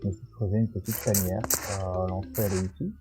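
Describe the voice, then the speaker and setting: A man's voice: deep voice, little pitch movement, fast talker. A man with a cold, chatting hesitantly through a Minecraft video with lots of fillers. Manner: hesitant, many fillers and restarts. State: stuffy with a cold. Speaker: gaming YouTuber. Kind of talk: casual Minecraft commentary. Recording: music playing behind.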